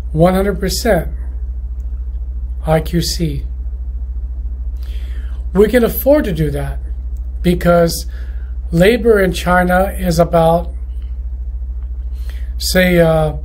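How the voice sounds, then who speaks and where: A man who runs a loudspeaker company, talking through his factory's quality control in a rambling, casual way, often restarting his sentences. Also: high voice, poor audio. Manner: rambling, many restarts and self-corrections. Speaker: loudspeaker manufacturer. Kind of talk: casual explanatory monologue.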